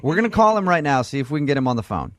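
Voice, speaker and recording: A man's voice: fairly high pitch, very animated, racing, dry recording. A man hosting a radio show with casual, joking morning banter. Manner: joking, teasing.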